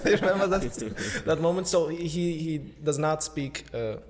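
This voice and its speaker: A young man, a podcast guest and school co-founder, chatting in a relaxed, good-humored, anecdotal way. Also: on the high side, normal speed, in a large hall, a crowd in the background. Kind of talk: casual interview conversation.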